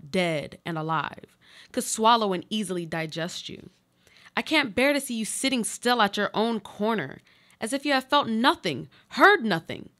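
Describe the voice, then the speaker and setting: A woman acting a haunted, bright-voiced watcher. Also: medium pitch. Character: haunted, watchful woman clinging to existence through words. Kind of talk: live radio play monologue.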